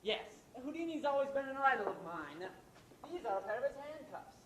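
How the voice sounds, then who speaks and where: A man's voice: very high voice, very animated, a little fast, fairly bright tone, heavily acted. A man acting an older, established playwright in an amateur stage play, sly and condescending, with a theatrical, faux-genial delivery. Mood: smooth, sly. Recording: echoey stage recording, faint noise.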